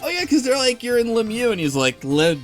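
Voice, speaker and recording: A man's voice: very high voice, big pitch swings, a little fast, music in the background. A man co-hosting a podcast in casual, conversational talk. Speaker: podcast co-host. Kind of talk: casual chat.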